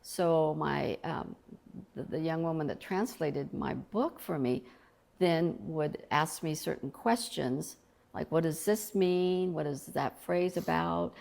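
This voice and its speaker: A woman's voice: low voice, slow pace, vocal fry, a little mellow. A middle-aged woman, an author from Colombia, talking in an earnest, reflective way about faith in an interview.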